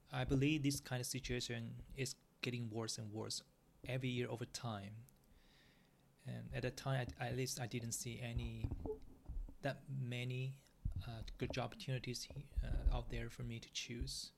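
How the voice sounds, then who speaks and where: Gravelly-voiced man hosting a solo podcast. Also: mid-range voice, noisy background. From Taiwan. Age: 40s. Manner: earnest, plain, with frequent restarts in non-native English. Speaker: podcast host.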